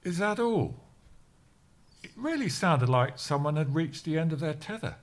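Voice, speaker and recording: A man's voice: on the high side, sing-song, normal speed. A man playing an older, self-important office colleague with a dry, pedantic manner in an audio sitcom.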